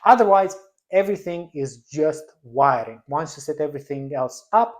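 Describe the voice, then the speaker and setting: A man in his thirties with a slightly high voice presents a tutorial. He explains each step in a semi-scripted, instructional way, speaking from a desk in a small indoor room. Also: expressive, normal speed.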